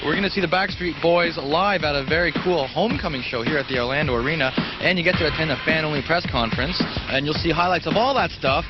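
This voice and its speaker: Fast-talking upbeat TV host, a man. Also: a little high. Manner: energetic, chatty. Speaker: TV music show host. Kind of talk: upbeat TV hosting. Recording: dull TV sound with loud music behind.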